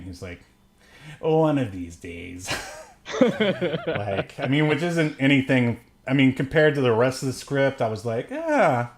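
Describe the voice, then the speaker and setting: A man's voice: mid-range voice, a little hiss or hum. A man co-hosting a podcast, giving a casual, comedic movie recap in a rambling, jokey way with frequent chuckles. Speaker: podcast co-host reviewing films.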